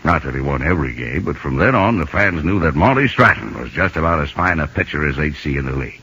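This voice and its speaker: An actor playing a laconic, gruff baseball pal who narrates a radio drama in a husky voice. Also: male voice, rumbling low, expressive, fast talker, sharp tone, slight background noise, heavily acted. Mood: matter-of-fact. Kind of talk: 1950s radio scripted narration. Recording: muffled old radio, faint music.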